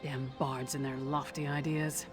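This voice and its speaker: A woman's voice: very deep voice, level tone, a little slow. A woman voicing a tough warrior companion in a video game, with a dry, wry, no-nonsense delivery over game music.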